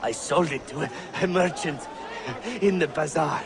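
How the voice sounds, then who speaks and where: High-voiced shifty thief. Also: male voice, normal speed, vocal fry, background noise. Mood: scared, defensive. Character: shifty, defensive male petty thief, desperate. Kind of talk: video game cutscene acting. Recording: music and street crowd behind.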